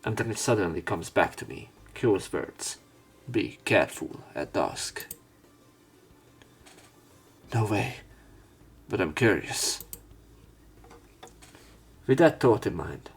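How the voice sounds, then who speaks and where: A man's voice: low-pitched, unhurried, pauses often, breathy edge. A man reading a visual novel aloud as a let's play narrator, dramatizing the script. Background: background music.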